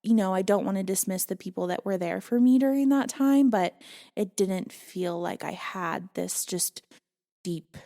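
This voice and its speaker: Woman podcast guest, mid-pitched, earnest and reflective, telling her own story. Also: normal speed. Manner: reflective, earnest, with soft laughs. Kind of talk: candid personal storytelling in conversation.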